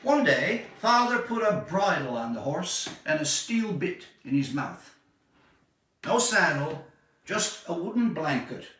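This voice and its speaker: Man, literary story reading, bright expressive voice, slow measured phrasing, dramatic pauses, distant mic in echoey room. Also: a little high. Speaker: author reading own short story.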